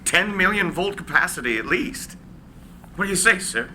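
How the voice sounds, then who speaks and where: A man's voice: sits high, expressive, moderate pace, pushed and bright, a little hiss or hum, heavily acted, rough recording. An actor playing an eager, loyal male technical assistant in a live screenplay reading. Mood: eager, persuasive.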